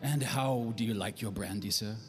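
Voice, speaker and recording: A man's voice: on the low side, moderate pace, close to an everyday voice. Man playing a smug, genteel nightclub owner. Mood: unctuous. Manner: affectedly refined, loquacious, chuckling. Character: smug, genteel middle-aged male nightclub owner, shady and soft. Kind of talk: 1940s noir radio drama acting.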